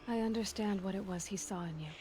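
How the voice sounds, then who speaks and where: A woman's voice: medium pitch, quick pace, mellow, a little hiss or hum, natural voice. A woman acting a resolute, caring young heroine in a video game, earnest with a dry edge. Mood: gentle.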